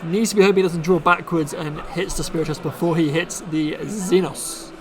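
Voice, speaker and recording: A man's voice: fairly high pitch, steady tone, a little fast, crowd noise. A man from Bristol doing upbeat casual commentary on a card game stream, reading card text aloud. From Bristol, England.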